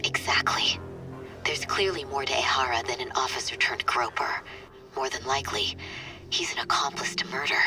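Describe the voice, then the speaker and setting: A woman playing a serious, composed defense attorney with a measured, businesslike manner in a video game cutscene. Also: a bass voice, fairly even intonation. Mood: analytical. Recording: game music under the voice. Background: music.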